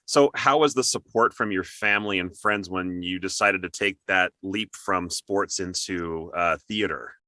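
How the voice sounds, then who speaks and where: A man's voice: sits low, a little slow, few pauses, bright, forceful tone, dry recording. A man hosting an interview show, speaking in an upbeat, conversational style. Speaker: host, interviewer.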